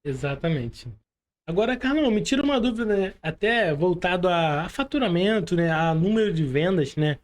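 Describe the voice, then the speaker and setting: A man's voice: sits high, lively intonation, moderate pace. A Brazilian man hosting a casual podcast interview in informal, slangy Portuguese, often checking in with short tag questions. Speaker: podcast host.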